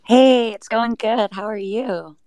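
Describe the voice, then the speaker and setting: Woman guest explaining stuff casually, lots of vocal fry, close dry sound. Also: fairly high pitch, slow, darkish tone, no echo. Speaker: project team member, interview guest. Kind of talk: casual explanatory interview talk.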